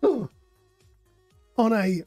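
An older man hosting a relaxed live modding tutorial, explaining code in a hoarse, coughing voice. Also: sits high, extremely slow, no room sound, soft background music. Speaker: modding stream host.

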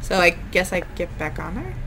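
A woman doing casual let's play commentary on a video game, expressive and playful. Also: on the low side, brisk, gravelly. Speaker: gaming channel host playing a video game. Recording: noisy background with game ambience. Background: video game sound.

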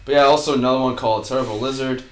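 A young man from Puerto Rico co-hosts a loose, joking podcast chat, with a voice of middling pitch for a man. He reads out news items and cuts in on his partner, and the room around him sounds hollow and echoey. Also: fairly even intonation, rapid-fire, crowd noise. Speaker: podcast co-host. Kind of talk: casual chat.

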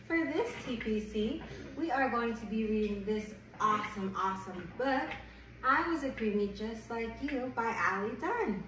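A woman's voice: sits high, a bit bright. A woman reading and chatting to young children in an enthusiastic, encouraging manner, often repeating words for them. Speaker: host of a children's read-aloud chat. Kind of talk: picture-book read-aloud and chat for young children. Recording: echoey noisy room, distant mic.

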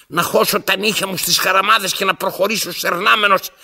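An actor playing a domineering, self-pitying old blind man in a radio drama, raspy and gravelly, imperious and dramatic, repeating his phrases. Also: high-pitched, fairly even intonation, pushed and bright, full character voice. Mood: weary, brooding. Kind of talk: theatrical radio drama acting.

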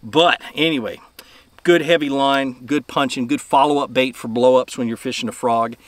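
A man's voice: mid-range voice, fairly even intonation, moderate pace, dry recording. A man, a fishing channel host, talking through his tackle casually from a boat, rambling and repeating words. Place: outdoors on a boat on a lake.

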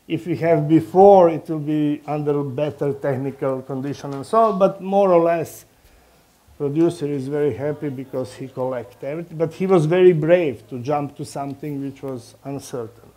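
Older croatian-accented guy giving a relaxed talk, slow and halting, kinda mellow voice. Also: a little high. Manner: halting, non-native English phrasing. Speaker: film director and professor speaking at a talk. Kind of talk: informal public talk with anecdotes. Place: medium-sized indoor meeting room before a small audience. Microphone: headset microphone.

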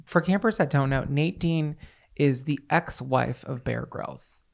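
A man's voice: fairly high pitch, a little slow, gravelly. An American man in his thirties from Massachusetts chatting casually and playfully as a podcast co-host into a close handheld microphone. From Massachusetts, United States. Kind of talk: casual comedic podcast banter. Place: small decorated indoor podcast set. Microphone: handheld microphone held close.

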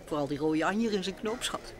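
An actress in an old Dutch radio play, playing a curious, observant wife who chides affectionately and talks in a chatty way. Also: female voice, lowish voice, lively intonation, brisk, a crowd in the background, lightly acted.

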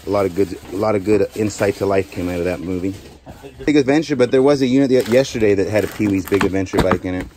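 Man, lowish voice, chatty storage-unit vlogger, noisy background, camera mic. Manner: chatty, speculating, quick pitch jumps when excited. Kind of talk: casual chat while sorting a storage unit.

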